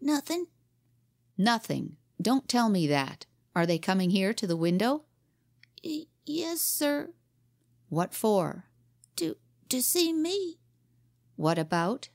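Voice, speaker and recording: A woman's voice: mid-range voice, big pitch swings, slow pace, gravelly, pauses often, no echo, studio quality, pitched above the performer's own voice, heavily acted. A woman voicing a frightened young boy who stammers timidly before his father. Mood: scared.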